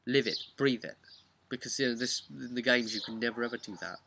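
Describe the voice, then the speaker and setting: A man, a game master, casually recapping a tabletop session in an animated, rambling, emphatic way. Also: medium pitch, brisk, gravelly, slight background noise. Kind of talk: casual unscripted storytelling vlog.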